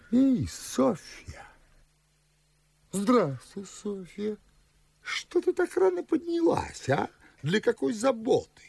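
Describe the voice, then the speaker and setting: A gravelly, husky old household patriarch in a verse radio drama. He is pompous and fretful, scolding in slow, blustering lines that swing widely in pitch. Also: dry recording, full character voice. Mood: indignant scolding. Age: older. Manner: blustering, theatrical. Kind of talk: classic verse radio play acting.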